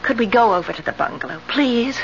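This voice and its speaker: An actress playing an affectionate yet defensive young wife in an emphatic, theatrical 1970s radio drama style. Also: female voice, a little high, lively intonation, a little fast, vocal fry, slight background noise. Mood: puzzled unease. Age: young adult. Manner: theatrical, emphatic, endearing turning indignant. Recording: muffled vintage radio broadcast.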